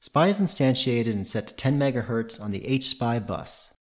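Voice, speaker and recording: A man's voice: medium pitch, expressive, normal speed. A man presenting a tutorial, reading scripted technical narration in a methodical, matter-of-fact way.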